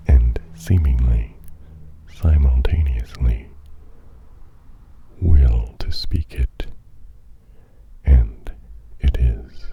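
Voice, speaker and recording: Man, extremely low voice, hushed whispery ASMR musing, halting delivery, muffled recording with some hiss. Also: gravelly. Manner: hushed, mostly whispered, halting with long pauses; musing, deliberate short phrases. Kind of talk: improvised ASMR monologue. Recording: dull, muffled audio with faint noise.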